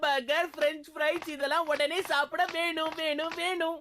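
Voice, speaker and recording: A woman's voice: high voice, little pitch movement, normal speed, noisy background, natural voice. A woman voicing a scheming, greedy big bully boy in a cartoon dub. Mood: bossy demanding. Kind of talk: animated cartoon dub acting. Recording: music behind the voice.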